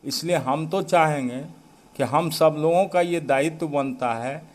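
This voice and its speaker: A man's voice: on the high side, unhurried. A man with an Indian accent making an earnest, declarative political statement to the press. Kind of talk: press sound bite.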